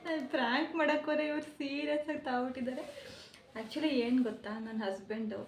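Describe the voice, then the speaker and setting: Fast, high-voiced teasing woman vlogger. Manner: playful, teasing, insistent. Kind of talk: casual vlog and prank phone call. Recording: roomy with faint noise.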